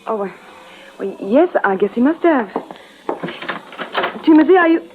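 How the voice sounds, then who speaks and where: An actress playing a fluttery, theatrical wife in an old-time radio melodrama. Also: female voice, high-pitched, lively intonation, measured pace. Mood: bright, searching. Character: beautiful, fluttery wife of a rich old man. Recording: noisy, tinny vintage radio recording.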